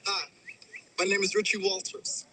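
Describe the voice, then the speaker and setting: Eager young dancer, early 20s, high bright voice. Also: male voice, little pitch movement, moderate pace, slight background noise, poor audio.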